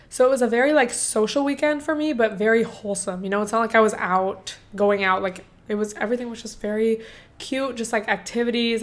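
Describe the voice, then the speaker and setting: This is a young woman in her twenties, talking alone into a podcast mic. Her voice is hoarse and a bit congested, and her pitch sits in an ordinary middle range. She chats casually and cheerfully, filling her sentences with "like" and "um". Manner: chatty and bubbly, many "like" and "um" fillers. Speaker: podcast host. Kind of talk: casual solo podcast chat.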